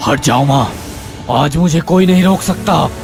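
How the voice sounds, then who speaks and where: A man's voice: fairly high pitch, unhurried, vocal fry. A man playing a moody, bewildered young man in an acted horror story, dramatic and brooding. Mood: menacing. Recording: long hall reverb over music.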